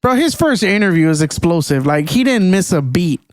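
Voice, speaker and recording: A young man talks in a casual podcast chat, excitable and full of slang. His voice sits high and comes through smooth and clear. The sound is dry and close, with no room around it. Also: moderate pace, no echo. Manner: excitable, slangy, says "bro" a lot. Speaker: podcast co-host.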